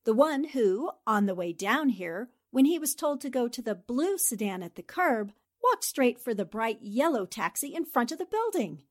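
A woman's voice: fairly high pitch, very animated, normal speed, few pauses. A woman playing a shrewd, confident newspaper reporter and amateur sleuth, theatrical and assertive in 1940s radio drama style. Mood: confident, triumphant.

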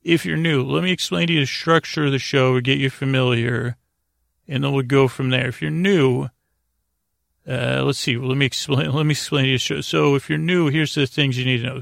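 Creaky-voiced man rambling soothingly. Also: medium pitch, fairly even intonation, a bit bright, no room sound, studio quality. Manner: meandering, halting, with pauses and repeated words; lulling, soothing. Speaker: podcast host. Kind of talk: rambling bedtime sleep podcast intro.

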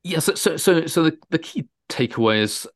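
A man speaking as an expert guest in an interview, emphatic, often repeating and rephrasing his words haltingly. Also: a little high, animated, no echo, clean studio sound. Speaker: transfer pricing specialist, interview guest.

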